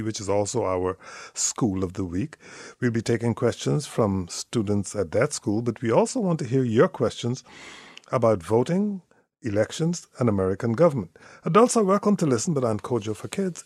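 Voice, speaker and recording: Older male radio host from Guyana, measured and friendly, mid-pitched voice, dry close studio mic. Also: darkish tone, husky, dry recording. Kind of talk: radio call-in show hosting.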